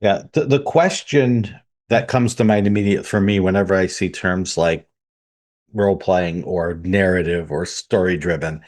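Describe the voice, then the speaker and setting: A man's voice: low-pitched, moderate pace, a little mellow. A man co-hosting a tabletop gaming podcast, methodically laying out his points one by one in casual discussion. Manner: methodical, lays out numbered points. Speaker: podcast co-host.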